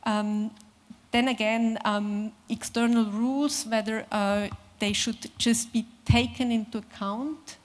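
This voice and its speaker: A woman panelist giving measured, careful academic remarks at a conference, pausing often with fillers. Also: mid-range voice. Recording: reverberant conference hall.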